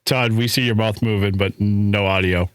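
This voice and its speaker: A man's voice: lowish voice, steady tone, normal speed, clear, resonant tone, no room sound. A man hosting a casual panel chat, jovial and slangy, often laughing as he talks. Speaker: podcast host.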